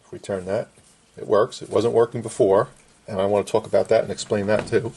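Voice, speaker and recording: Middle-aged male restorer, slightly low voice, casual plain-spoken bench demo, faintly noisy camera sound. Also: level tone, brisk, vocal fry, dark tone. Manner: plain, short repetitive explanatory phrases. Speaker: vintage radio restorer and presenter. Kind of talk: casual hands-on repair demonstration. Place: indoor workbench.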